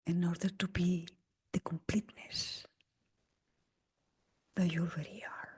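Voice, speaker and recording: A woman guides a meditation in a very breathy, husky voice that sits close to a whisper. Her pitch is a little low for a woman. She speaks very slowly and gently, leaving long pauses between short phrases. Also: little pitch movement. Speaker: meditation teacher leading a guided meditation. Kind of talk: slow guided meditation talk.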